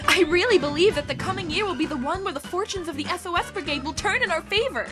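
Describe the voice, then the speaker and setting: A woman voicing a bossy, excitable teenage girl who leads a school club, speaking in a commanding, enthusiastic way. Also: extremely high pitch, fast talker, over loud music. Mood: eager. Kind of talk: fan audio drama acting.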